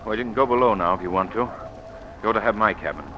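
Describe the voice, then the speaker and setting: Actor as low-voiced suave gentleman sleuth. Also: fast, natural voice. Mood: composed, grave. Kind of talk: 1930s radio acting. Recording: muffled, noisy old radio recording.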